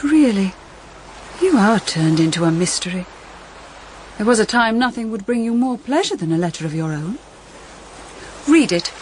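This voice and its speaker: An actress playing a practical, outspoken middle-aged mother in a period drama, brisk and emphatic. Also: on the high side, slight background noise. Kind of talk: period audio drama acting.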